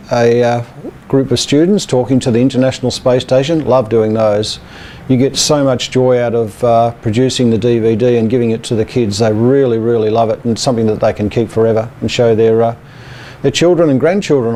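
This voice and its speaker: A man's voice: medium pitch, mellow. A man with an Australian accent giving a casual, hesitant, rambling update as an amateur radio club presenter.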